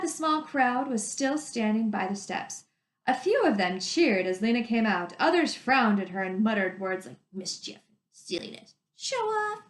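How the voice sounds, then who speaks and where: Highish-voiced woman reading aloud, echoey room. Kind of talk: storybook narration with casual asides.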